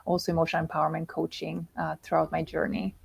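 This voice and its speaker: A woman's voice: deep voice, monotone, fast talker, creaky, breathy edge. A middle-aged woman from Italian-speaking Switzerland with a Swiss Italian accent, speaking as a guest coach over a video call with many ums and restarts. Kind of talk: livestream interview.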